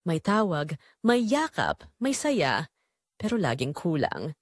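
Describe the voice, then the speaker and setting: A woman's voice: deep voice, very animated, slow pace, no room sound, studio quality. A woman narrating a dramatic first-person story in an emotive way.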